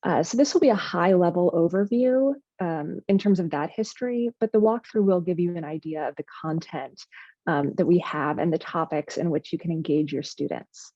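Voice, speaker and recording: A woman's voice: on the low side, normal speed, dark tone, no echo. A woman historian leading a guided virtual tour in a presentation, speaking fluently with occasional um and uh. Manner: fluent, uses um and uh fillers. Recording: dull, lo-fi video call audio.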